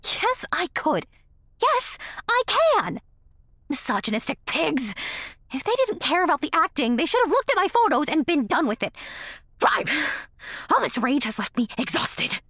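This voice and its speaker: A woman's voice: high voice, very animated, moderate pace, fairly bright tone, no echo, clean studio sound, full character voice. A woman playing a struggling young aspiring actress in an audio drama, theatrical, prickly and sardonic. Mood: indignant. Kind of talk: naturalistic audio drama dialogue.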